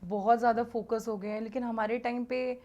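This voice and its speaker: A woman's voice: a little high, little pitch movement, a little fast, mellow, dull audio. A woman who is a guest on a podcast, giving her opinions in casual conversation, emphatic and fond of repeating words to stress them.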